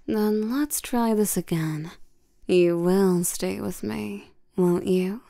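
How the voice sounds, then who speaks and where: A woman playing a seductive, commanding vampire queen in an intimate roleplay audio, soft and breathy, teasing and condescending, often sinking toward a whisper. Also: medium pitch, dry recording, clean studio sound. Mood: seductive, hypnotic. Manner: slow, teasing, domineering, with terms of endearment.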